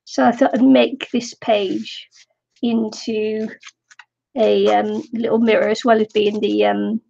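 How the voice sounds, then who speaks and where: A woman's voice: a little high, level tone, slow, gravelly, dark tone, muffled recording, a little hiss or hum. A middle-aged woman chatting casually while crafting on a live stream, slightly nasal from a cold, rambling and giggling often. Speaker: craft vlogger.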